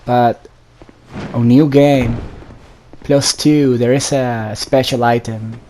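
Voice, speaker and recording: A man talks through a video game in a casual, instructive way, speaking straight to the viewer. His voice is mellow and dark, with very little rise and fall. Faint game ambience and background noise sit behind him. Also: medium pitch, fairly even intonation, takes it slowly. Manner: instructive, addresses viewers directly. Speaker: gaming video host. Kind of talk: casual solo gameplay commentary.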